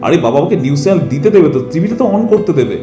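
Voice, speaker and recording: A man playing a concerned, bossy middle-aged father, speaking insistently in an animated family drama. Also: on the high side, rapid-fire. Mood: puzzled, impatient. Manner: insistent, repeats short commands. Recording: roomy echo with music behind.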